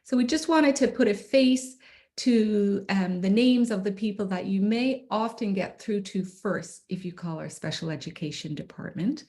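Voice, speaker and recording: A woman's voice: medium pitch, moderate pace, dark tone. A middle-aged woman from Ireland, presenting slides to parents at an online meeting in a friendly, informal way with frequent ums, on a computer mic. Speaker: special education administrator.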